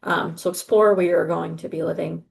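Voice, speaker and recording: A middle-aged woman speaks on a video call in a lowish voice with a gravelly edge. She briefs students in an earnest, reassuring way, pausing often on ums and uhs. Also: fairly even intonation. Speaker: study abroad advisor.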